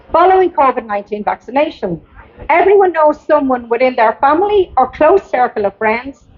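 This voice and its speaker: Irish woman, high-pitched voice, public address read from a script, deliberate emphatic delivery, dull lo-fi recording. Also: breathy edge. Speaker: campaigner giving a public address. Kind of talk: prepared speech read aloud at a protest. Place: outdoors at a public rally.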